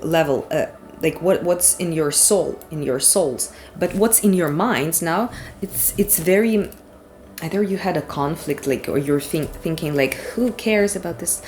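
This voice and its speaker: A woman's voice: sits low, measured pace, a little mellow, slight background noise. Ukrainian-accented woman doing a tarot reading. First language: Ukrainian or Russian. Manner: rambling, frank, swears casually. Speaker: tarot reader. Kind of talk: casual collective tarot reading.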